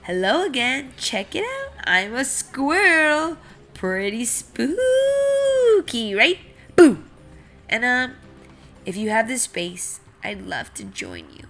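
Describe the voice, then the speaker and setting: A woman's voice: high-pitched, wildly swooping pitch, slow, fairly bright tone, exaggerated voice. A woman voicing a grumpy, anxious male seed in a theatrical, announcing way for a children's storybook. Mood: cheerful, shy. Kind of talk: children's picture book read-aloud.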